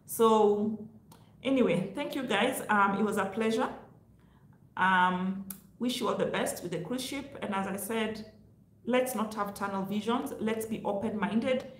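A woman's voice: mid-range voice, a little slow. Kenyan woman in an echoey room. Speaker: host, careers advice vlogger. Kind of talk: casual advice talk to viewers. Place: small indoor room, home office.

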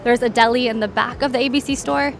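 A woman's voice: on the high side, steady tone, fast, gravelly, a little hiss or hum. A woman hosting a travel vlog, narrating a walking tour in a casual, informative, conversational way, pointing things out in an orderly fashion. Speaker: travel guide and vlog host.

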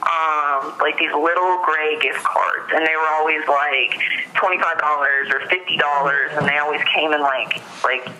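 A woman's voice: sits low, steady tone, normal speed, bright, forceful tone, low-quality recording. An American woman giving a witness account in a phone interview, hesitant and often saying "like", on a thin telephone recording. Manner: hesitant, frequent use of "like". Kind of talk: recounting events in an interview. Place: phone call.

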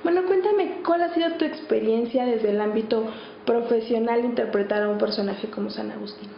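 Female Mexican interviewer, fairly high voice, dull echoey recording. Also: a little fast, vocal fry. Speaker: interviewer, reporter. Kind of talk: interview questions and presenter narration. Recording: dull, echoey, faint noise.

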